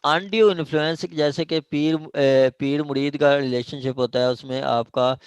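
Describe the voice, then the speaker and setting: A man's voice: fairly high pitch, steady tone, normal speed, highs cut off, slight background noise. A man with a Pakistani accent giving an informal law lecture, explaining step by step and repeating his phrases.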